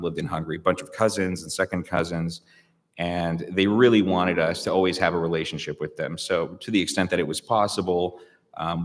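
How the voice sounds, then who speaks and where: Low-voiced thoughtful man in a hall. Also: fairly bright tone. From United States. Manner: thoughtful, hesitant, many fillers and restarts. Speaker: guest speaker. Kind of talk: reflective conversational interview. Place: public hall. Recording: long reverb of a large room.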